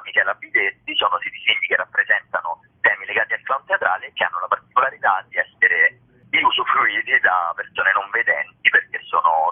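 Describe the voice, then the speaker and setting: A man's voice: sits low, slightly rough. A man explaining a programme as an organiser in an informal interview, hesitating and drawing out words. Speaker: theatre festival organiser and presenter. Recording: muffled lo-fi phone-like radio line.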